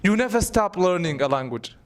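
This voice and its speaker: A young man teaching English answers his students' questions in an animated way, often repeating a word before going on. His voice sits high for a man. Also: brisk. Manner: animated, repeats words while thinking.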